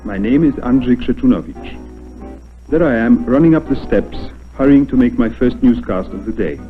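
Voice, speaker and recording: A man whose first language is Polish, a newscaster, narrating a documentary in a measured, formal way. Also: mid-range voice, soft background music. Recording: tinny narrow-band old film soundtrack.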